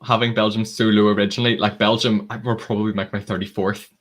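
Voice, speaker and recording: A man hosting a casual panel chat over a video call, opinionated and relaxed, laughing at himself now and then. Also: lowish voice, fast talker. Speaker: host.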